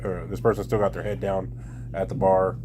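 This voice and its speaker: A man with a fairly low voice runs a tabletop roleplaying game. He narrates scenes and rules in a deliberate, improvised, stop-and-start manner, recorded with slight background room noise. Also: level tone. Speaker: game master.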